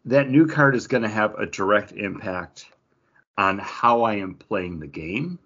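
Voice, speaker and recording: A man's voice: on the low side, expressive, measured pace, fairly bright tone. A man talking casually and emphatically about games as a podcast co-host, with some swearing, on slightly dull audio.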